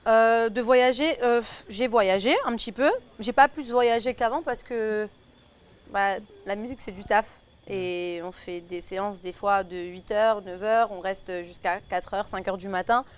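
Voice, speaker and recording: A woman's voice: mid-range voice, unhurried, smooth. A woman in her twenties from Belgium, a singer and influencer chatting casually with many fillers and restarts. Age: 20s. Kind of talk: casual chat. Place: table at a food tasting.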